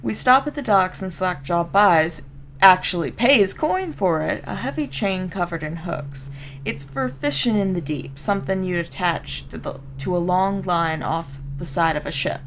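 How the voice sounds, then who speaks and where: Woman as gravelly, streetwise Southern gang storyteller. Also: lowish voice, expressive, moderate pace, fairly bright tone, slight background noise. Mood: streetwise, matter-of-fact. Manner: folksy, streetwise, colloquial. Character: rough street-gang storyteller, plain-spoken old comrade of a boss. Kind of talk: dramatic first-person story reading.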